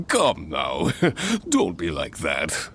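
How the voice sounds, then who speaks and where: A man's voice: mid-range voice, sharp tone, slight background noise, heavily acted. A man voicing a boastful, jovial veteran adventurer in a video game, hearty and grandiose in his delivery. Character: boastful, jovial seasoned male adventurer and guild master. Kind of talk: video game character acting. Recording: game music behind the voice.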